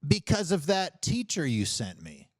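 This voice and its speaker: A man chatting casually as a podcast co-host, explaining things in a rambling, halting way full of casual slang. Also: a little high, wildly swooping pitch, no room sound. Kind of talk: casual chat.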